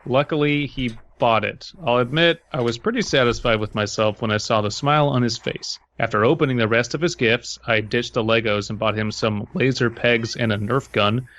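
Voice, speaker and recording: A man with an average-pitched voice on a podcast. He moves between casual banter and informal reading of a first-person story. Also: normal speed, few pauses, fairly bright tone, lo-fi sound. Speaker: podcast co-host.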